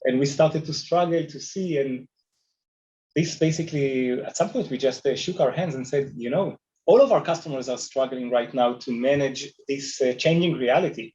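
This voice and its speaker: A man from Israel with an Israeli accent, telling stories conversationally as an animated panel guest. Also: mid-range voice, normal speed, muffled recording. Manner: animated talker, moves a lot while speaking. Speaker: panel guest, travel technology company leader. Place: remote video call on camera.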